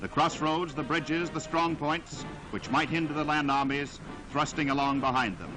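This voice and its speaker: A man narrating a 1940s newsreel in a dramatic, declamatory style with emphatic, measured phrasing, on a vintage soundtrack. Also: a little high, over loud music, rough recording.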